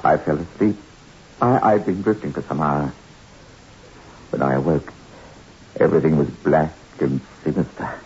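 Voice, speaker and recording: Shaken castaway in an old radio horror play, dramatic and halting, hissy muffled recording. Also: male voice, rumbling low, darkish tone. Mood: haunted recollection. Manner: halting, dramatic, swinging from brooding to pleading. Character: distraught, haunted male castaway in shock. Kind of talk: 1960s radio horror acting.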